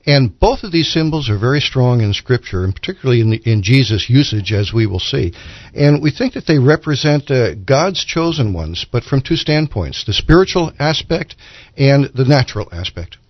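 A man speaking as a church elder and guest on a religious talk show, measured and teacherly. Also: medium pitch, animated, no room sound. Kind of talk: religious talk radio discussion. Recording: dull lo-fi radio audio.

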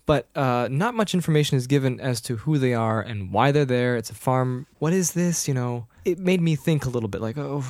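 A man casually discussing a movie, rambling and animated, breaking into high voices to quote lines. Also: fairly quick, few pauses, dry recording, studio quality.

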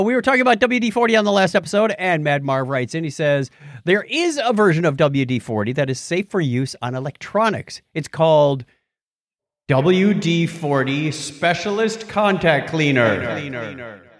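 American man, podcast host, high-ish voice, very animated intonation, playful, casual chat. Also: normal speed, no echo, studio quality. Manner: jokey, playful.

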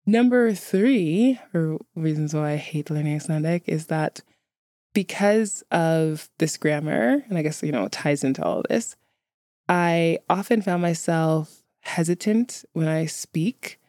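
A woman's voice: low voice, darkish tone, no echo, studio quality. A woman who is a native English speaker, chatting casually to viewers as a vlogger in a wry, candid, self-deprecating way.

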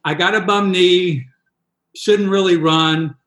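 Guy in his sixties rambling thru a story, a bit high voiced, echoey room. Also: steady tone, slow pace, fairly bright tone. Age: 60s. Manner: rambling, self-deprecating, frequent restarts. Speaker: guest, triathlon coach. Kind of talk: casual storytelling in a podcast interview.

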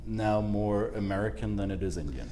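Male podcast host, lowish voice, asks questions and murmurs "mm-hmm" often. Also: normal speed, mellow. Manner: brief affirming backchannels. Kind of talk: interview questions.

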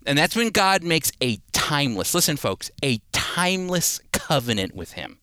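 A man delivers a sermon in a bright, forceful voice, slightly high for a male speaker. His sound is clean and resonant. He teaches scripture as a story, landing key phrases with emphasis and pausing deliberately before addressing listeners directly. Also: sing-song, dry recording, clean studio sound. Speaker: preacher teaching a Bible series.

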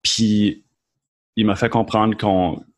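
Man, Acadian French accent, thirties, lowish voice, earnest, halting, podcast guest. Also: flat delivery. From Moncton, New Brunswick, Canada. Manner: hesitant, many restarts and fillers, earnest. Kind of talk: casual conversational interview.

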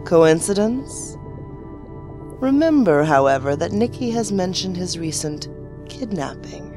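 A woman announcer giving dramatic scripted narration in a deliberate, mysterious storytelling manner. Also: on the low side, lively intonation. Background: music.

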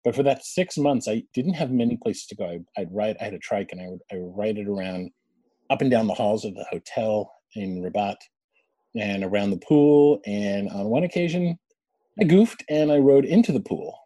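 A man's voice: on the low side, moderate pace, mellow, no room sound. A man in his forties, a guest telling family stories in a chatty, laughing way. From born in Canada, raised abroad. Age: 40s. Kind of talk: casual storytelling interview.

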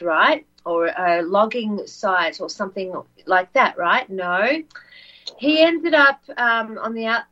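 A woman co-hosting a podcast, English as her second language, telling a story in a soft voice with many ums and repeated words. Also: on the high side. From not said; lives in Australia. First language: not English. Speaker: co-host. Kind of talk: casual podcast chat, storytelling. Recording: dull lo-fi audio.

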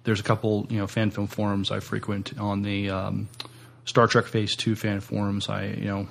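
A man with a lowish, gravelly voice that stays quite monotone. He chats casually as a podcast co-host, hesitating and doubling back on his words. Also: moderate pace. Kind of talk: casual chat.

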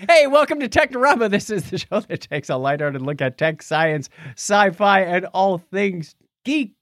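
A man hosting a lighthearted tech podcast with playful, animated banter. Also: high-pitched, normal speed, dry recording, studio quality. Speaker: podcast host.

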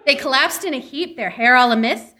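Bright, high-pitched woman reciting comic verse. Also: fairly quick. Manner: theatrical storybook delivery, breaking into laughter. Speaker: live variety show performer. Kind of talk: comic recitation of a parody Christmas poem. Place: live event at a comic book shop.

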